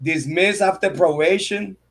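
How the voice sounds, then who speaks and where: Fired-up, high-voiced male streamer ranting. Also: measured pace. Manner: heated, profane and repetitive, calling out a rival; shouts out and thanks viewers by name. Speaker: true crime channel host. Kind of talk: livestream commentary rant.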